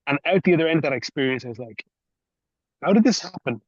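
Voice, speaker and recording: A man's voice: a little high, lively intonation, quick pace, no echo, muffled recording. A man from Ireland with an Irish accent, hosting an interview in a conversational style. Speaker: podcast interviewer.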